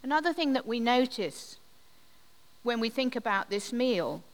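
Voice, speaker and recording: A woman preaches slowly and gently, her higher voice unhurried as she leads a communion service. The sound rings with a long reverb from a church hall. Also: lively intonation. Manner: slow, gentle, reflective delivery with pauses. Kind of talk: sermon.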